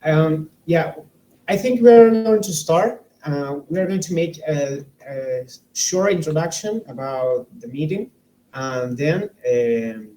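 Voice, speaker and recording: A man with a warm, mellow voice hosts an interview. He builds his questions slowly, filling gaps with fillers while he searches for the words. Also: a little high, animated, low-quality recording. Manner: slow, searching phrasing with fillers. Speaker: interview host. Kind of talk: conducting an interview.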